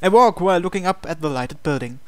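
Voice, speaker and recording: A man's voice: sits high, lively intonation, fast talker, a bit bright. A man hosting a game playthrough, reading visual novel narration aloud expressively with casual asides.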